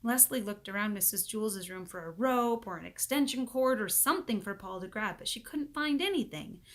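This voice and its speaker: A woman reading a children's book aloud as expressive narration, acting out the dialogue in an animated way. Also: mid-range voice, brisk.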